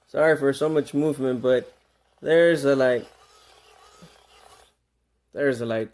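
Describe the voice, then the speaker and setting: A man's voice: medium pitch, takes it slowly, darkish tone. A man talking casually through an unscripted product demonstration, conversational, repeating himself and stressing key words. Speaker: product reviewer.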